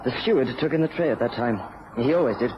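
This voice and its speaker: An actor playing an earnest young gentleman's secretary in a radio drama, speaking politely and a little hesitantly. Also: mid-range voice, animated, fast, close to an everyday voice. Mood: cooperative. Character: earnest, bewildered young male yacht secretary. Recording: noisy, dull lo-fi radio recording.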